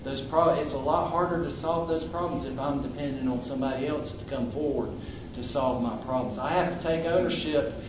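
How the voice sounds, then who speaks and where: A man speaking loudly and bluntly to a public meeting, with frequent restarts. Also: mid-range voice, fairly quick, few pauses, recorded from a distance. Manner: loud, outspoken, with frequent restarts. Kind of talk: impromptu public address. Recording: echoey room recorded from afar, noisy.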